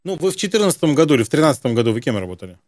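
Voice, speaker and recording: A man's voice: on the high side, sing-song, very fast, no room sound, clean studio sound. A middle-aged man hosting an unscripted phone interview as a prankster, questioning in a probing, mock-earnest way. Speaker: prank-call host. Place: indoor room with gaming chair.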